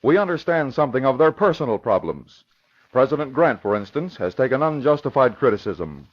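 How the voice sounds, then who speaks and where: An American male announcer reads a scripted radio promo with lively, varied pitch. The recording is dull and old. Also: a little fast.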